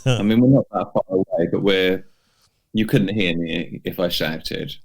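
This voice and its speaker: A man co-hosting a podcast in casual chat, with a halting delivery that often repeats words. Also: low-pitched, creaky. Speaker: podcast co-host.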